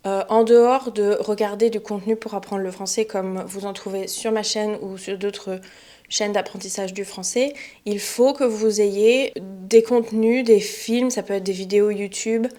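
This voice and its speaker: A young woman, a French teacher, giving a relaxed spoken lesson to learners, chatty and often pausing with fillers and restarts. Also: medium pitch. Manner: chatty, many fillers and self-corrections.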